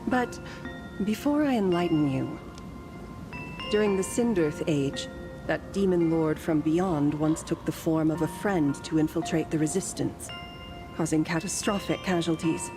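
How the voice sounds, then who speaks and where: An ancient guardian speaks in a fairly low female voice. She is serene and faintly teasing, with formal, old-fashioned phrasing suited to a fantasy game story. Music plays clearly behind her. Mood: solemn, wistful. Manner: formal, archaic, measured phrasing.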